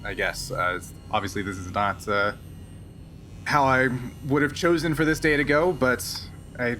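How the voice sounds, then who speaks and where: A weary, guarded smuggler pilot , played by a man at a tabletop game, resigned yet defiant. He talks haltingly at a middle pitch with a bright edge, over faint noise. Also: close to an everyday voice. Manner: halting, measured, evasive.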